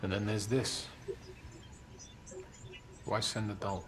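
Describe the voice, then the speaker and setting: Low-voiced, matter-of-fact detective. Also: male voice, flat delivery, takes it slowly. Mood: businesslike. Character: matter-of-fact male police detective in a mystery thriller. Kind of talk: naturalistic screen acting. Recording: noisy, quiet game audio playback.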